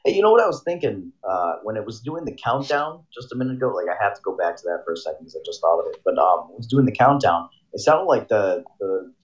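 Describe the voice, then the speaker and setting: A man's voice: on the low side, sing-song, fast talker, few pauses, lo-fi sound. A man co-hosting a crude comedy podcast, rambling with frequent laughs. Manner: rambling, laughing, jumping into silly voices. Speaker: podcast co-host. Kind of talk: crude casual chat.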